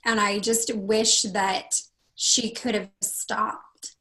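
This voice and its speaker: A woman with a husky, hoarse voice, speaking as a podcast guest in a casual interview and acting out quoted dialogue with big jumps in pitch. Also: flat delivery, drawn-out words, sharp tone, low-quality recording. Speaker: podcast guest, confidence consultant.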